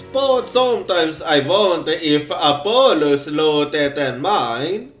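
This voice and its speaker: A man voicing a loud, confident young male defense attorney who declaims his lines. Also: fairly high pitch, reverberant, exaggerated voice.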